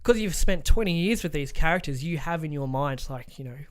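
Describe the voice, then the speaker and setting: A man's voice: a little high, expressive, fairly quick. A man co-hosting a podcast in casual, opinionated chat, emphatic and given to swearing. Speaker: podcast co-host.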